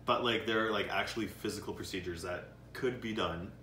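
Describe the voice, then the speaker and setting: A young man hosting a relaxed talk, his voice low-pitched yet bright and forceful. He is chatty and supportive with a joking streak, recorded from a distance in an echoey room over faint ambience. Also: a little fast, slight background noise. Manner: chatty, supportive, jokey, with frequent yeahs and mm-hmms. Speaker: host. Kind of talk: casual chat.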